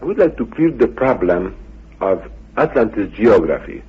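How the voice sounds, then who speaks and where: Male radio interviewer, lowish and very rough-voiced, careful halting questions. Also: sing-song. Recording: dull, muffled radio recording.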